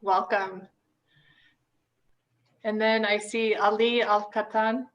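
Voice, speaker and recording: A woman's voice: mid-range voice, steady tone, slow pace, highs cut off. A woman hosting an orientation video call, calling on each person in turn with a friendly, upbeat manner. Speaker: orientation host.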